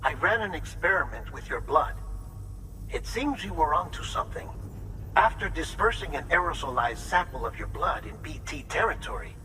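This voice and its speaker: Higher-pitched, precise male scientist. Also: animated, bright, forceful tone, a little hiss or hum, low-quality recording. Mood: measured, earnest. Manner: precise, formal, measured wording. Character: formal, analytical male scientist giving a briefing. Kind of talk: video game cutscene acting.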